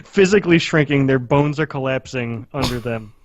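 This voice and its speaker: A man's voice: medium pitch, moderate pace, no echo, muffled recording. A man co-hosting a podcast with casual, profane banter, sarcastic and given to joking exaggeration. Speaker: podcast co-host.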